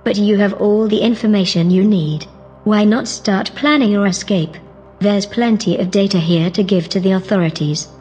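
A woman playing a shrewd, self-assured artificial intelligence in a sci-fi audio drama, with a husky voice and a cool, dry, matter-of-fact delivery. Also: medium pitch, normal speed, darkish tone, faint music behind, natural voice. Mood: curious, skeptical. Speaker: supporting actor in an audio drama.

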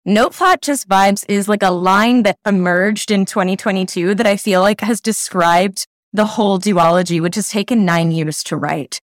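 A woman's voice: medium pitch, moderate pace, few pauses, no echo, clean studio sound. A woman author talking enthusiastically in an interview, with many fillers. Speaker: author guest. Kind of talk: casual interview chat.

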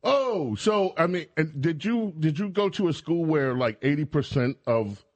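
A man's voice: on the high side, animated, unhurried, dry recording. A man hosting a talk radio show in a studio, chatting with guests in a sarcastic, mocking way. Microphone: studio microphone.

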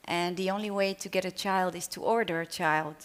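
Dutch-accented woman, lowish voice, panel author reading her own short story, hesitant. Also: fairly even intonation, brisk. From Netherlands. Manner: hesitant, apologetic about her English. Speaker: author reading at a literary event.

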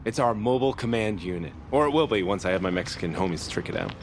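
Gung-ho, goofy low-voiced buddy. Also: male voice, animated, fast, noisy background. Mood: eager. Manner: enthusiastic, slangy. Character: gung-ho goofy buddy, excitable would-be action hero. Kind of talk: audio drama acting.

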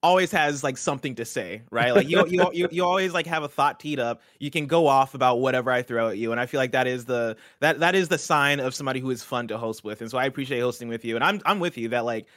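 Energetic chatty male host. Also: medium pitch, fast, few pauses, no room sound, studio quality. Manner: energetic, chatty, frequent "like" fillers. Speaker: podcast host. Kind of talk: casual gaming news chat.

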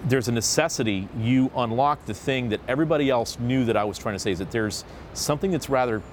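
Male host, lively and jokey, mid-range voice, faint traffic rumble behind. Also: fast. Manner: animated and jokey, with a rising upbeat lilt. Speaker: host of a discussion panel. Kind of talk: casual roundtable discussion. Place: seated at a table in a city.

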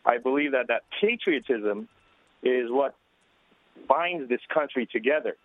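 A man who grew up in Syria, calling in to a radio show and speaking in an earnest, measured way with many pauses. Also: mid-range voice, no echo. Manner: earnest, measured, with frequent hesitations. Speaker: caller. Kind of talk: call-in radio conversation. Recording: tinny phone line.

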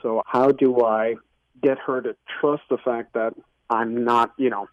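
A man phones in to a radio show, talking casually as he asks for advice. His medium-pitched voice comes through a tinny, narrow-band phone connection with no room echo. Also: little pitch movement, a little slow. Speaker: caller seeking relationship advice. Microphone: telephone.

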